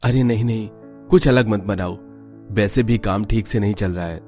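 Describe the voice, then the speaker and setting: A man's voice: lowish voice, moderate pace, mellow, soft background music, close to an everyday voice. A man playing a worried, thrifty father short of money in a cartoon story, discouraging and resigned.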